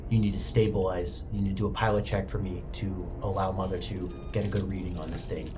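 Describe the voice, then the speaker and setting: A man's voice: sits low, flat delivery, fast. A man working as game master, narrating a tabletop roleplaying game in a dramatic, immersive way. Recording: music bed under the voice. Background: background music.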